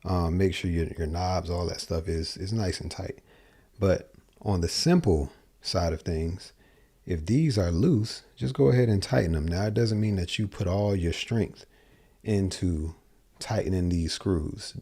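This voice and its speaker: Low, husky-voiced man teaching guitar. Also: unhurried, dark tone. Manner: loose, rambling, self-correcting explanations. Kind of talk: casual video lesson. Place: small home studio, indoors. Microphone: large dynamic mic on boom arm.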